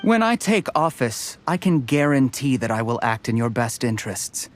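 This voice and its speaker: A man's voice: medium pitch, very animated, normal speed, slight background noise, natural voice. An actor playing a polite, evasive young official who pleads his case. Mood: pleading. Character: smooth-talking ambitious young male official. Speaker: game character.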